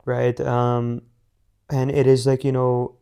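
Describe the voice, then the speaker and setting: A man's voice: mid-range voice, monotone, slow, gravelly, dark tone, smooth. A teenage man from India, a young business founder answering interview questions in a casual, rambling way with many fillers and restarts. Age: teens.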